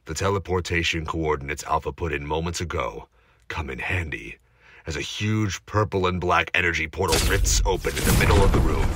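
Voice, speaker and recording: A man's voice: sits low, sharp tone, a little hiss or hum. A man narrating a scripted audio drama in a dramatic, suspenseful storytelling manner.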